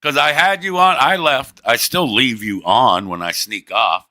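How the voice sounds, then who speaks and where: A man's voice: mid-range voice, a little slow, bright, forceful tone. A man hosting a livestream, teasing and profane in crude casual banter, with a drawl. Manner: brash, profane, teasing, laughs a lot. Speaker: livestream host.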